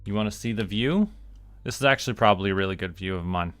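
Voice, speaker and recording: A man's voice: lowish voice, fast talker, a bit bright, dry recording, soft background music. A Canadian man doing casual gameplay commentary as a streamer, thinking aloud and talking himself through each step.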